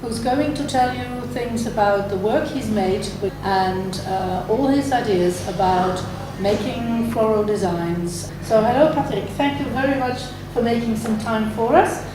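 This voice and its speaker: A woman's voice: a little high, measured pace, hardly any pauses. A woman interviewing a floral designer, politely introducing him and asking him questions. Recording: echoey room, distant mic, noisy.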